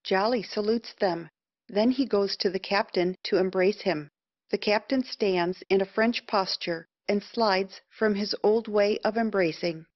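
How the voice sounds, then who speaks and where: A woman's voice: medium pitch, unhurried, no echo. A woman giving scripted narration, reading stage directions. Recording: dull, dry lo-fi audio.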